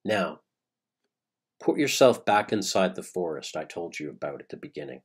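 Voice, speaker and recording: A man reading a scripted monologue in a conversational storytelling style, emphatic, with rhetorical questions and dramatic pauses. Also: low-pitched, sing-song, slightly rough. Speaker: playwright reading own monologue.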